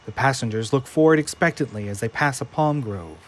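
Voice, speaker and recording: A man narrating an audio drama, reading scene descriptions in a measured storytelling style. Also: mid-range voice. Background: music.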